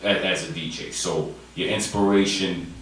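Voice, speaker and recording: A very deep-voiced man hosting a radio interview, halting and repeating words as he builds each question. He sounds distant from the mic, in a heavily echoing space with faint noise underneath. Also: steady tone, a bit bright. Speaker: radio show host. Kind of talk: interviewing a guest.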